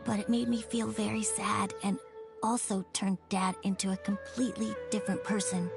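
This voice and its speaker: A woman voicing a sincere, wistful little girl in a video game, speaking earnestly and a little hesitantly. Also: on the high side. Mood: hesitant, confessing. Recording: music behind the voice.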